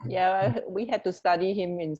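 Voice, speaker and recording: A woman's voice: fairly low pitch, steady tone, normal speed, a little mellow. A woman co-hosting a radio show in a casual, informal chat style, with slightly muffled audio. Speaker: radio co-host.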